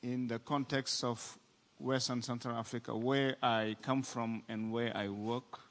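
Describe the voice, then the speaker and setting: A man from Senegal with a strong French accent, speaking deliberately as an NGO director on a panel. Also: mid-range voice, level tone. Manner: deliberate, with fillers and pauses. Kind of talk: conference panel talk. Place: large conference hall. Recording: reverberant conference hall.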